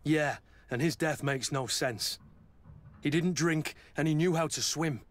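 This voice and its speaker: An actor playing a gruff, stubborn army captain in a video game, blunt and plain-spoken. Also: male voice, a little high, unhurried, natural voice. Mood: disbelieving, stubborn. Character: gruff, stubborn male captain, loyal to his recruits. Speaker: military captain. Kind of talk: video game dialogue acting.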